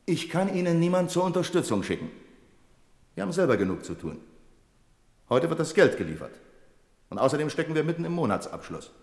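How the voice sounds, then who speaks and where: A man's voice: on the high side, very animated, brisk, creaky, long pauses, darkish tone. An actor playing a stern, pompous and cutting male bank boss in a German radio drama. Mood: commanding, brusque. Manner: pompous, cutting, clipped orders.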